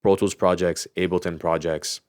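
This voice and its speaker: Podcast co-host, male, low register, informal conversational delivery, dry sound. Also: level tone, moderate pace, darkish tone, clear, resonant tone, dry recording. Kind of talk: casual podcast chat.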